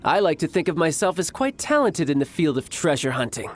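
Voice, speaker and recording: A man voicing a cocky young warrior in a video game, smooth-talking, smug and formally worded. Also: on the high side, sing-song, fairly quick. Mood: confident, playful. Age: young adult. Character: cocky, theatrical young male warrior posing as toy salesman.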